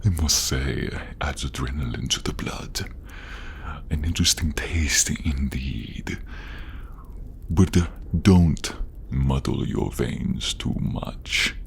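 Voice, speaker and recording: A man's voice: a bit bright, in a whisper, heavily acted. A man voicing a menacing, inhuman grand inquisitor with a hunger for blood, commanding and savoring his words in a fantasy audio role-play. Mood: imperious, menacing. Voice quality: very deep, gravelly, breathy. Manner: slow, savoring, commanding, condescending.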